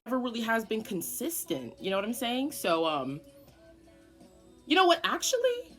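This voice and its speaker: Animated, foul-mouthed eighteen-year-old woman. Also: on the high side, normal speed, soft background music. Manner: animated, dramatic, swearing often. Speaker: vlogger. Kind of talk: casual storytime.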